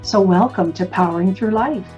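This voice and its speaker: A woman's voice: mid-range voice, normal speed, a little mellow. A woman hosting a radio talk show as a life coach, welcoming her guest in a friendly, conversational way. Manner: welcoming, scripted intro then conversational. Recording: dull lo-fi sound with faint music.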